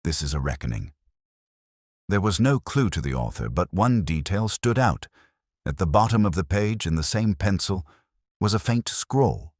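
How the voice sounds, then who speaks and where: A man narrating a scripted mystery in a measured, wry literary manner. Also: fairly low pitch, sing-song, no room sound, clean studio sound.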